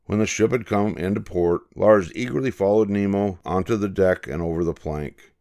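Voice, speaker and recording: An older man narrating a children's picture book in a measured, expressive storytelling manner. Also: sits low. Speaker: storybook reader.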